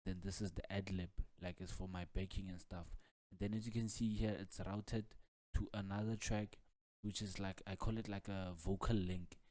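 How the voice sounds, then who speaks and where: A deep-voiced man talks through a music software tutorial in a relaxed, flat tone. He explains loosely and informally, often circling back over his words. The sound is close and dry. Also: moderate pace, no room sound. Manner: casual, repetitive filler-heavy explaining with frequent "like" and "man".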